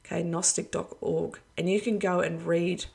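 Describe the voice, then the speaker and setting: A woman giving a casual video address to her followers as an online preacher, her voice hoarse and going, talking earnestly and rambling with many fillers. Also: fairly low pitch, fairly even intonation, moderate pace, a little mellow. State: losing her voice.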